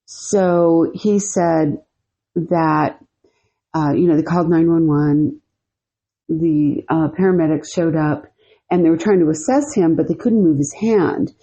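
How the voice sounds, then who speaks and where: Low, husky woman telling a story. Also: a little slow, warm tone. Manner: rambling, full of "you know" and "like". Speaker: podcast co-host. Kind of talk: casual storytelling.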